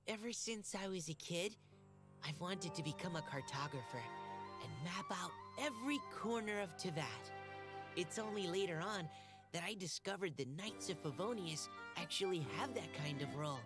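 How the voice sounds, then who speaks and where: Woman doing earnest young boy knight from a game, soft polite boyish voice, loud music behind. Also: fairly low pitch, expressive, moderate pace, lightly acted. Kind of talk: game character narration. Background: orchestral game music.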